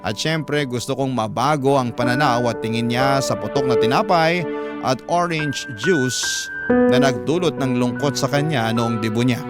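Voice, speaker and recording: A man with a Filipino accent narrating a listener's letter in scripted radio storytelling style. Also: mid-range voice. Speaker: radio drama host reading a listener's letter. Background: background music.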